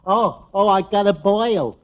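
A man playing a dim-witted, literal-minded tavern regular in 1940s radio comedy, slow to catch on and ungrammatical. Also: high voice, lo-fi sound. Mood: dopey, pleased. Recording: noisy old radio broadcast, studio audience.

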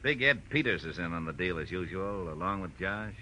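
A man's voice: low voice, lively intonation, brisk, pushed and bright, exaggerated voice. An actor playing a smug, cagey burlesque showman, blustery and evasive, in an old-time radio drama. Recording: faintly noisy old radio recording.

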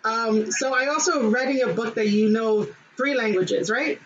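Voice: medium pitch, normal speed, fairly bright tone, highs cut off. A podcast host asking casual, agreeable questions with many fillers. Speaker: interview host.

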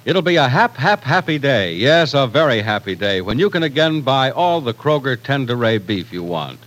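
Animated vintage male radio announcer. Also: medium pitch, normal speed, rough recording. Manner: polished, emphatic sales delivery. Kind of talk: 1940s radio announcing, scripted. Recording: vintage radio broadcast recording.

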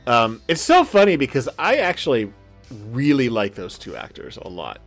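A man with a highly animated, mid-pitched voice talks casually as a podcast host. He rambles excitedly and swears freely, his intonation jumping up and down. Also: normal speed, faint music behind. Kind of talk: casual chat.

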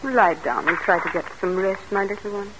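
A woman's voice: medium pitch, fairly quick, close to an everyday voice. An actress playing a kindly, philosophical lady's maid with a French accent in an old-time radio drama. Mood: soothing, resigned. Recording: noisy vintage radio broadcast.

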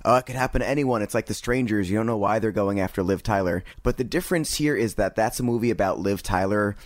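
A man with a fairly low voice reviews a film on a podcast. He is opinionated and throws sarcastic jabs. The sound is dry and close, with no room around it. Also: fast talker, dry recording. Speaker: podcast co-host. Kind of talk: casual movie review chat.

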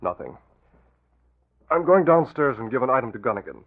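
Decisive newspaper boss, tinny sound. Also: male voice, medium pitch, sing-song, a little fast, background noise. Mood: grave, decisive. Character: decisive, level-headed male newspaper boss. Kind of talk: 1940s radio acting. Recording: phone-like old radio recording with music.

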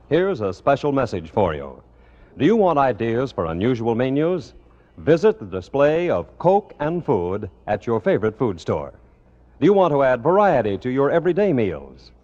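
A man's voice: mid-range voice, a little mellow. A man announcing on 1950s radio in a polished, salesmanlike, scripted delivery. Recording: dull old radio broadcast, music behind.

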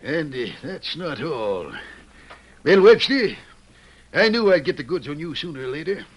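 An actor playing a blustery, good-hearted sheriff in an old-time radio western, folksy and emphatic. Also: male voice, on the high side, big pitch swings, measured pace. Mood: stern. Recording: vintage radio broadcast recording.

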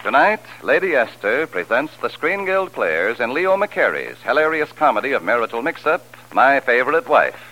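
A man's voice: medium pitch, very animated, normal speed, hardly any pauses, bright, forceful tone, low-quality recording. A man announcing a 1940s radio play in a scripted, presentational style. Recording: vintage radio broadcast recording.

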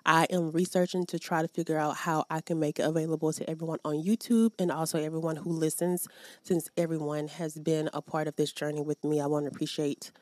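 A woman's voice: low-pitched, steady tone, fairly quick, a little mellow, dry recording. Upbeat woman hosting a faith podcast. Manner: upbeat, addresses listeners directly, prays aloud. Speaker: podcast host. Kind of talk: faith podcast chat and prayer. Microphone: podcast microphone.

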